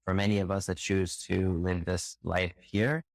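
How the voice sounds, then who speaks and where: A man hosting a podcast interview, asking measured questions and affirming often. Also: deep voice, level tone, vocal fry, no echo, clean studio sound. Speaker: podcast host.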